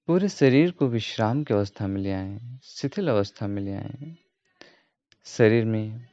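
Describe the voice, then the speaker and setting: A man giving guided meditation instruction as a yoga teacher, in a calm, measured, repetitive way. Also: on the low side, gravelly. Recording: roomy echo, dull muffled sound.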